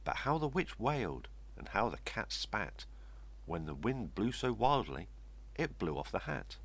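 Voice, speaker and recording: Older man, very low gravelly storyteller. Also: big pitch swings, a little slow, poor audio. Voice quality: gravelly, husky. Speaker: story reader. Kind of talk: expressive read-aloud children's storytelling in rhyme.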